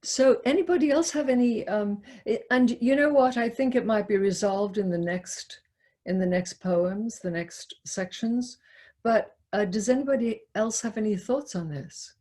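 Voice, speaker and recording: A woman's voice: fairly high pitch, moderate pace, dark tone. A woman leading an unscripted literary discussion, hesitant and searching for her words. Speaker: discussion leader.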